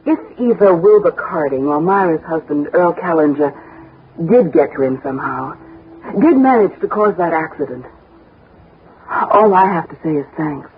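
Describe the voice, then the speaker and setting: An actress in a 1960s radio drama playing a brisk, flirtatious widow who talks bluntly and familiarly, with a husky voice. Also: female voice, low voice, animated, slight background noise. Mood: cool, guarded. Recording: tinny, band-limited 1960s radio recording.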